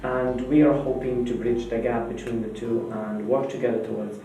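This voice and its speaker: Hesitant engineer in an echoey room. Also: male voice, medium pitch, little pitch movement, moderate pace, dark tone. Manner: hesitant with many fillers. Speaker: senior engineer. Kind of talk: interview to camera. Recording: echoey room from far off with music behind.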